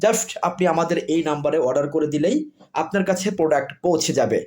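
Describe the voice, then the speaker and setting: A man with a Bangladeshi accent giving an informal product sales pitch, repetitive and insistent, running through points in lists. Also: fast talker, darkish tone. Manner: repetitive, insistent, with list-like phrasing. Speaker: product seller.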